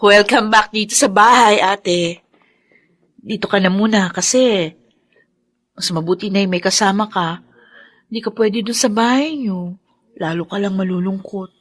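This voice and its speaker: A woman acting a protective, devoted younger sister in a Filipino radio drama, expressive and pleading. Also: medium pitch, a little slow, pauses often, no echo, soft background music. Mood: caring.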